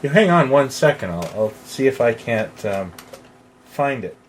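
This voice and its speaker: A man's voice: medium pitch, very animated, measured pace, slight background noise. A man co-hosting a podcast in an informal, conversational style. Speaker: podcast co-host. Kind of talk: casual chat.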